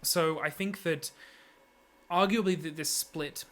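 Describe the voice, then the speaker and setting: A man giving an unscripted, analytical opinion monologue, halting often and correcting himself as he works through his argument. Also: a little high, steady tone, a bit bright. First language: English, heritage speaker of Serbian. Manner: hesitant, halting, frequent self-corrections.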